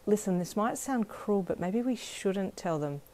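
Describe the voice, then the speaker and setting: A woman's voice: mid-range voice, lively intonation, unhurried, darkish tone, natural voice. A woman voicing a kind neighbour mother who speaks in a subdued, sorrowful way. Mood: sad. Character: sad, kind neighbour mother, holding back tears.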